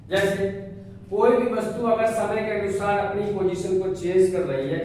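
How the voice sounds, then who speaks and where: High-voiced man teaching, very echoey. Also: a little mellow. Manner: didactic, repeats phrases and questions. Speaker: physics teacher. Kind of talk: classroom lecture with repeated check questions. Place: indoor classroom with a board. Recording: very echoey room, distant mic, faint noise.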